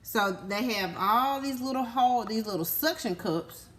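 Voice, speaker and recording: Lady teacher doing a kids book read aloud, really animated up and down voice, simple words, asks lots of little questions, bit of background noise. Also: a little high, moderate pace. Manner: instructive, simple child-directed phrasing with frequent check-in questions. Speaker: teacher reading a picture book to young children.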